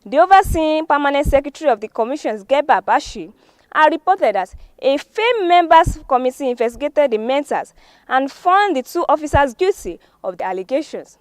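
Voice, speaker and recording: A woman's voice: high-pitched, big pitch swings, normal speed, dry recording. A woman with a Nigerian accent presenting a newspaper review, reading formally from the stories with occasional stumbles. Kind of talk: scripted news reading. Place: studio.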